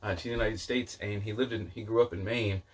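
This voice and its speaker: A man's voice: on the low side, steady tone, brisk, husky. A man chatting casually as a book vlogger, rambling off on tangents and peppering his talk with "like" and "you know.". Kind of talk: casual rambling chat to camera.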